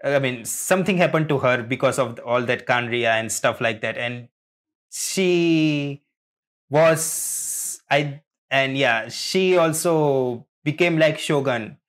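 A man talks through a casual fan reaction at an unhurried pace. His pitch sits in the middle, and his delivery rambles and halts as he works out his theories. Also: fairly bright tone. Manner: rambling, halting, chuckling often. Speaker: reaction video host.

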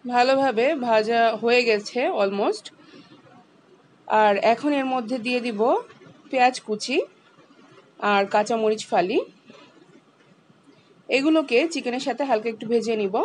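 A woman, calmly walking through a home cooking recipe step by step as the channel's host. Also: on the high side, a little slow, pauses often, slight background noise, low-quality recording. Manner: calm, instructive, explains each step.

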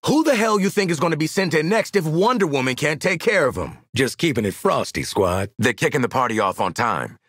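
Raspy, cocky American assassin. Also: male voice, fairly high pitch, very animated, brisk, few pauses, fairly bright tone, dry recording, clean studio sound. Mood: cocky, wry. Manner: brash, streetwise, wisecracking. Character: cocky, world-weary male assassin and squad soldier. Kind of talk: video game voice lines.

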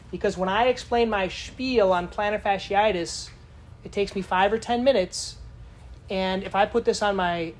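A woman's voice: mid-range voice, darkish tone, a little hiss or hum. Chatty woman giving a lecture. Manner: casual, chatty, asks the audience questions. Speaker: conference speaker. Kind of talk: live lecture to an audience. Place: conference room.

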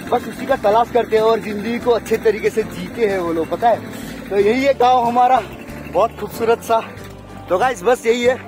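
A young man vlogging outdoors in rice paddies, talking excitedly and directly to viewers, filmed on a phone at arm's length with music underneath. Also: high-pitched. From Assam, India. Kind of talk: casual vlog talk to camera. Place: outdoors in rice paddy fields. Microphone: phone camera held at arm's length.

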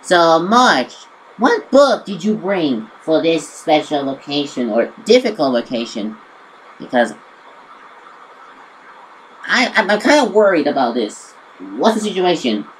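Woman acting cartoon sea sponge, excitable, grumbling, dramatic, lively pitch, amateur skit, music behind. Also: measured pace, low-quality recording. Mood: wary. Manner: dramatic, complaining, exclaiming. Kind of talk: amateur character skit for a storytime video.

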